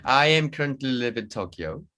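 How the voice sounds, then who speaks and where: A young adult man from Korea with a British-tinged Korean accent, chatting casually as co-host, halting and restarting often. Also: medium pitch, animated, bright, forceful tone. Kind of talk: casual video-call chat.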